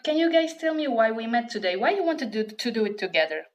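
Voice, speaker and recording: A woman with a fairly high voice hosts a casual online interview. She is giggly and playful as she guides her guests. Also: sing-song, quick pace. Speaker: interview host.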